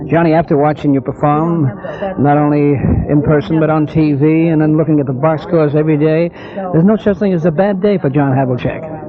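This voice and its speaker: A man's voice: a little high, steady tone, a little fast. A man hosting a 1970s radio sports interview, speaking in effusive, formal phrases with hesitant questions. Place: busy club. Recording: dull vintage radio recording, crowd murmur.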